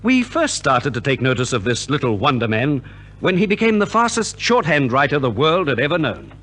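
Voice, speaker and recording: A man's voice: mid-range voice, big pitch swings, a little fast, a bit bright. A man narrating a scripted 1950s radio programme in a theatrical manner.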